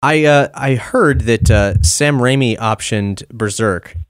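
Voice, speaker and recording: A man's voice: mid-range voice, takes it slowly, smooth, dry recording, clean studio sound. A man chatting casually as a podcast interviewer. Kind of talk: casual chat.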